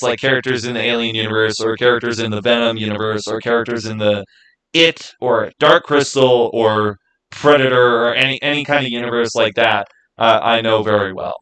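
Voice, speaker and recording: A man hosting a livestream, chatting casually with viewers in a halting way, often repeating words and phrases as he goes. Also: fairly low pitch, a bit bright, rough recording. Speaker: livestream host.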